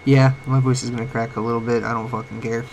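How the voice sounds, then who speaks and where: A young man with a cold and a cracking voice, talking about his life in a halting, rambling way full of fillers. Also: mid-range voice, flat delivery, creaky, very noisy. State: sick, voice cracking. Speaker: vlogger. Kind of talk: late-night personal talk. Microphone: home microphone, uneven volume.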